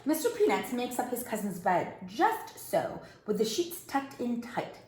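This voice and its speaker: Animated woman reading a children's story. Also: fairly high pitch, measured pace, a little hiss or hum. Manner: expressive, addressing young children. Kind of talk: children's picture book read-aloud. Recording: roomy, echoing indoor sound.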